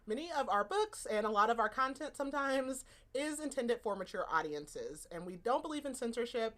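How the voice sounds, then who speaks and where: A woman's voice: mid-range voice, a bit bright. A woman co-hosting a book podcast in casual chat, laughing often and trailing off mid-sentence. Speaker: podcast co-host.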